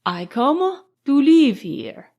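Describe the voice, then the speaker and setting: A woman plays a dapper young count with a foreign accent. He speaks very slowly and carefully, picking out his English with serene, polished courtesy. It is a storybook character voice, pitched on the high side. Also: very animated, darkish tone, muffled recording, full character voice. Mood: polite, serene. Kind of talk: audiobook character voice.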